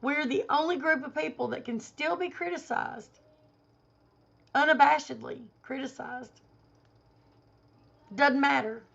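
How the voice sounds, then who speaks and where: A woman vlogger giving an indignant opinion rant to camera, hoarse because she has a cold and is losing her voice. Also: sits high, unhurried, pauses often, fairly bright tone, muffled recording. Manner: indignant, emphatic.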